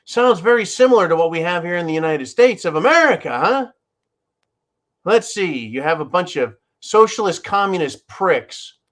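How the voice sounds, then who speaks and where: Guy doing a snarky news rant, cusses a lot, talks to "folks", slightly higher voice. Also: animated, normal speed, fairly bright tone. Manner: sarcastic, profane, keeps addressing folks. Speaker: channel host. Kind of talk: opinionated news commentary.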